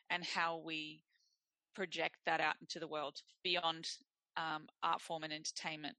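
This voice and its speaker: Low, bright-voiced woman. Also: no room sound. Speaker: social circus practitioner. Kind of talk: reflective informal interview talk.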